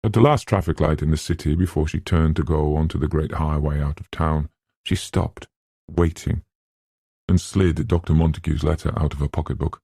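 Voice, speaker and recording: A man with a low, creaky voice narrates a literary novel in a measured storytelling style. His tone is dark and mellow, recorded close and dry. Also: steady tone, no room sound. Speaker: audiobook narrator.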